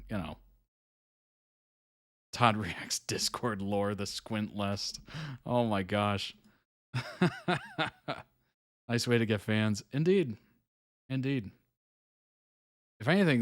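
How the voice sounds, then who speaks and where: A man's voice: on the low side, lively intonation, takes it slowly, long pauses, dry recording, clean studio sound. A man hosting a live reaction stream, talking casually and chuckling often between comments. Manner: laid-back, chuckles often, trails off with fillers. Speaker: reaction video host.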